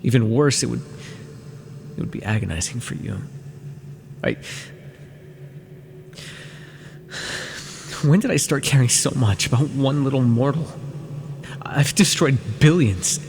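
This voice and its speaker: A man playing an ancient, brooding immortal villain in an intimate fantasy audio roleplay, with a halting, dramatic, gravelly delivery. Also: mid-range voice, animated, noisy background. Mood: anguished. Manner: slow, halting, dramatic delivery with sighs and pauses. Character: ancient immortal villain, brooding, tormented, tender toward beloved mortal.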